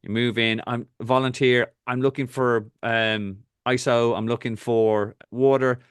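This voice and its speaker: A man's voice: medium pitch, flat delivery, clear, resonant tone, dry recording. A man with an Irish accent, a triathlon coach hosting a casual talk and giving direct, practical advice. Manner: direct, instructive, rapid asides.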